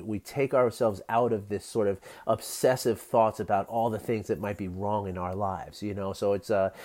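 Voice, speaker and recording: A man with a scratchy, worn voice hosts a solo podcast, wandering through his thoughts in an earnest, unscripted way. He fills pauses often and breaks into small laughs as he goes. His voice sits a little low. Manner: rambling and earnest, frequent fillers and soft laughs. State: scratchy, tired voice. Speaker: podcast host. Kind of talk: casual solo podcast talk.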